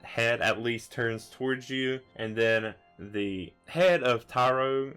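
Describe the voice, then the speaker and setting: A man's voice: mid-range voice, takes it slowly, a bit bright, clear, resonant tone, faint music behind. A man improvising scene narration as the game master of a tabletop roleplaying game.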